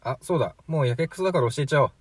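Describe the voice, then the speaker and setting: A man's voice: on the high side, racing, husky, no room sound. A man playing a chatty, flippant 43-year-old from the future in a radio drama, glib and matter-of-fact. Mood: breezy, teasing. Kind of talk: amateur radio drama acting.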